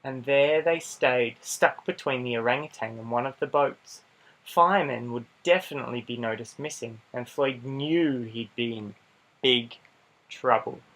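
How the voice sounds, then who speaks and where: A man reading a children's picture book aloud in an animated style, with dramatic pauses and playful asides to young listeners. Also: medium pitch, poor audio. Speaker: storybook reader.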